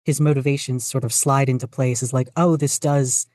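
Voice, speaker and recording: A podcast guest chatting casually, speaking in halting but enthusiastic bursts. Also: medium pitch, fairly even intonation, darkish tone, dry recording, clean studio sound. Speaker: podcast guest, fan fiction writer. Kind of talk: casual interview chat.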